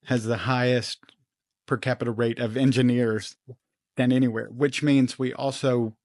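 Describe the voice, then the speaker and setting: A man's voice: mid-range voice, flat delivery, moderate pace, a little mellow, no room sound, studio quality. A man hosting a podcast, asking questions in a casual, informal way. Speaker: podcast host, interviewer.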